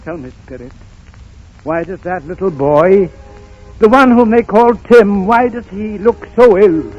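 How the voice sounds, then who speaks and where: A fretful old miser in a vintage radio drama. His voice is high for a man and unhurried, with theatrical, pleading delivery. Music plays clearly behind him. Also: expressive, a little mellow, muffled recording, full character voice. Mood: uneasy, hushed. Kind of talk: 1930s radio acting.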